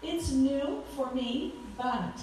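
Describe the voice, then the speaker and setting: A woman in her forties with a loud voice, giving a fervent talk as a guest speaker at a church, with frequent ums. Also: high voice, drawn-out words, a little hiss or hum. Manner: earnest, fervent, with many ums. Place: church hall. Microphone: handheld microphone. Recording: echoey hall from far off.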